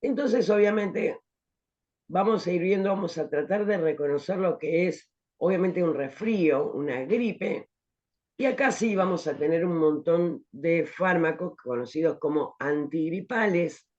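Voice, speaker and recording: A woman's voice: lowish voice, unhurried, darkish tone. Lady teaching pharmacology online, asks herself questions, kinda muffled lo-fi audio. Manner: explanatory, rhetorical questions, frequent "¿eh?" tags. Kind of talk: online class lecture.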